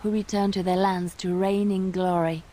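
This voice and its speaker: A woman's voice: medium pitch, little pitch movement, normal speed, mellow, close to an everyday voice. A woman voicing a sly, frank adventuring companion in a video game, dry and assured in manner.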